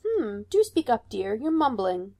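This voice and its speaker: A woman's voice: a little high, very animated, a little slow, mellow, exaggerated voice. A woman voicing a controlling mother who talks down sweetly. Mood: sweetly scolding. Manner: condescending, falsely sweet. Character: sugary controlling mother, middle-aged woman.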